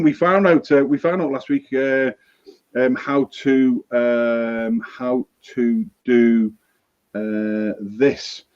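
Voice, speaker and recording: A man's voice: mid-range voice, a little mellow. Chatty man host, dull lo-fi sound. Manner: chatty, upbeat, calls people mate. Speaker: show host and producer. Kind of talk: casual live-stream chat. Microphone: remote audio feed, off-screen.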